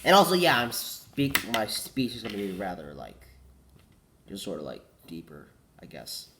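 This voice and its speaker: A man's voice: fairly low pitch, lively intonation, moderate pace, sharp tone, slight background noise. A teenage boy with a cold, congested and coughing, rambling casually and correcting himself, saying "like" a lot. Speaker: vlogger.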